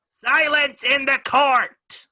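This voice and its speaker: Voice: high voice, fairly even intonation, extremely slow, bright, forceful tone, heavily acted. A performer playing a fussy, officious rabbit court herald, declaiming in a dramatic reading. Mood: proclaiming. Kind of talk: dramatic reading of a classic children's story.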